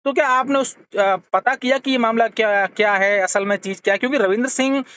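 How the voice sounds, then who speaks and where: A man with a high, clear voice conducts an unscripted phone interview. He asks probing questions haltingly, often rewording them midway. The sound is a dull, lo-fi call recording. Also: sharp tone. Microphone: telephone.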